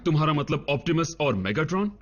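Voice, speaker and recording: A man playing an impatient, blustering government agent in a dubbed cartoon, with a husky voice. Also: on the high side, expressive, fast talker. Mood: agitated. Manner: blustering, forceful. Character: hot-headed, impatient male government agent. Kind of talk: dubbed animated series acting. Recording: dull, muffled sound.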